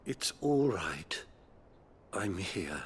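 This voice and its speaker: An actor playing a gentle, solemn abbot in a video game, speaking in a measured, formal and compassionate way. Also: male voice, deep voice, very animated, a little hiss or hum, exaggerated voice. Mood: tender, sorrowful. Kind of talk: video game cutscene acting.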